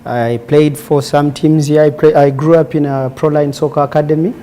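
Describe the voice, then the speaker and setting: A man's voice: on the high side, fairly even intonation, normal speed, dark tone. A man in his twenties with a Ugandan accent, telling his personal story conversationally as an interview guest. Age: 20s. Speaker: interview guest, former footballer.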